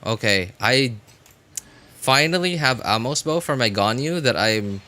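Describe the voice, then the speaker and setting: A man chatting casually as he comments on gameplay on a live stream, rambling and often correcting himself in a halting way. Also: mid-range voice, expressive, sharp tone. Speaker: game livestreamer.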